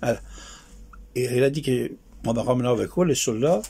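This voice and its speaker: Male political commentator, gravelly and raspy, emphatic exhorting delivery, hammers phrases by repetition. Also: medium pitch, lively intonation, fairly quick, dark tone. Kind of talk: impassioned political monologue with anecdotes.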